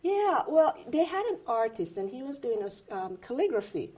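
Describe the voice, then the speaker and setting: A woman's voice: a little high, very animated, husky. A middle-aged woman from Jamaica, an interview guest, speaking in a casual chat with many fillers and restarts. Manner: warm, halting, with frequent fillers and restarts. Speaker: interview guest, retired federal employee.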